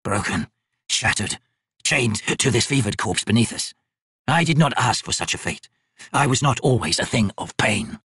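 A man voicing a bitter, chained, crippled god in an audiobook, rasping and wheezing, with slow, laboured, archaic speech. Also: mid-range voice, expressive, fairly bright tone, dry recording, clean studio sound, heavily acted. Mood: bitter, pained.

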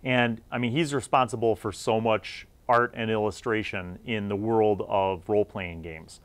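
A man's voice: fairly low pitch, steady tone, normal speed, dry recording. Middle-aged man hosting a retrospective review. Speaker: video series host. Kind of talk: retrospective review talk to viewers.

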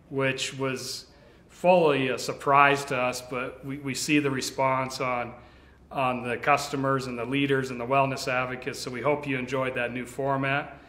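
A middle-aged man, a company vice president, speaks earnestly and reassuringly to sales leaders, with frequent restarts, standing in a bright office. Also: mid-range voice. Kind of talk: video address to sales leaders. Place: large bright office with tall windows, indoors. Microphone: standing before a fixed camera, two-shot. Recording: echoey room.

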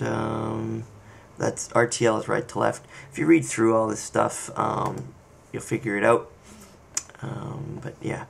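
A man giving a casual, unscripted software walkthrough, thinking aloud with hesitant pauses. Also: lowish voice, creaky. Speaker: software tutorial presenter. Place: indoor screen-recording voice-over. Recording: faint background noise.

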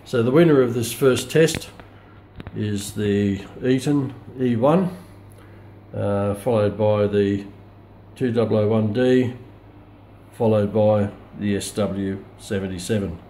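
An Australian man talks slowly and haltingly through a casual hands-on demonstration, thinking aloud as he goes. His voice is on the low side. Loud radio hiss and static run behind him on a phone recording made indoors. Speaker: radio hobbyist presenting a video test. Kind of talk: casual unscripted product demonstration. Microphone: phone camera held back from speaker. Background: shortwave radio noise.

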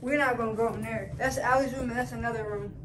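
A woman's voice: on the high side, steady tone, fast talker, reverberant, background noise. A woman hosting a casual vlog, giving a house tour in loose, slangy, swear-heavy talk, recorded on a handheld phone inside a house. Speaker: vlog host.

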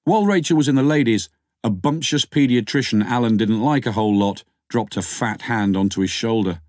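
A man's voice: mid-range voice, a little fast, darkish tone, no echo, clean studio sound. A man reading scripted fiction as an audiobook narrator.

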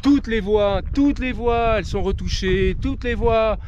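A man in his forties, breathless and animated, filming himself on a high mountain trail with a camera at arm's length. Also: a little slow, dull audio, background noise. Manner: animated, emphatic, raising his voice. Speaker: trail runner vlogger. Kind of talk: hiking selfie vlog. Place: high mountain pass outdoors. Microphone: camera held at arm's length.

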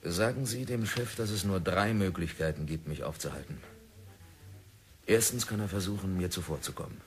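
A man's voice: sits low, normal speed, natural voice. An actor playing a stubborn, obsessed male diamond prospector in an old radio play, self-assured and sardonic. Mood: defiant. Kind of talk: 1960s radio drama acting.

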